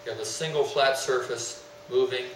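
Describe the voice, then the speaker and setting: A man giving an explanatory technical presentation in a deliberate, didactic way, stressing his key terms. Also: medium pitch, fairly even intonation, fairly bright tone. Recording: roomy, distant mic, faint hum.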